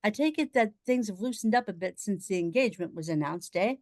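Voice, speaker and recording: A woman playing a breezy, teasing upper-class gentleman in a comic period radio play, jaunty and mocking with posh old-fashioned diction. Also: medium pitch, lively intonation, fairly quick, no echo, studio quality, natural voice. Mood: jovial teasing.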